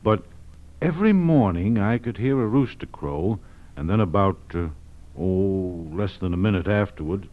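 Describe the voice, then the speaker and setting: An actor playing an earnest kidnap victim in a 1940s radio crime drama, speaking haltingly and carefully. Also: male voice, sits low, lively intonation. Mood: careful, recalling. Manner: halting, careful, eager to help. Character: earnest male kidnap victim recalling his captivity. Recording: muffled vintage radio broadcast.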